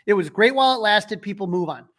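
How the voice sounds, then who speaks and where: A man's voice: sits high, expressive, fast talker, fairly bright tone. A man co-hosting a sports podcast, giving casual but emphatic, opinionated analysis.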